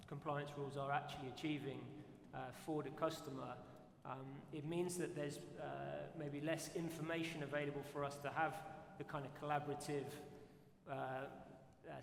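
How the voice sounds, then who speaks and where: A man speaking on a conference panel in a measured, deliberate way, often pausing on fillers. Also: medium pitch, gravelly. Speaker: operator panelist. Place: conference hall on stage. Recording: echoey conference hall with faint noise.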